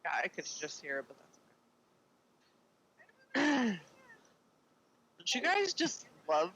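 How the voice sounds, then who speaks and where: A woman with a naturally loud, bright voice cohosts a podcast from home. She banters in a playful way, and her pitch swings up and down a lot. She speaks into a desk microphone. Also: huge pitch swings, slow pace, slightly rough, rough recording. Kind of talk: casual podcast chat.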